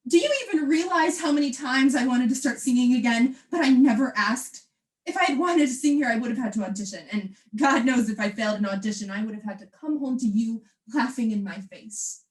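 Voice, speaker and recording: A woman's voice: fairly high pitch, a little fast, lightly acted. A young woman acting in a stage play as a defiant, wounded wife who longs to sing. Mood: resolute, upset. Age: young adult. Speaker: student actor.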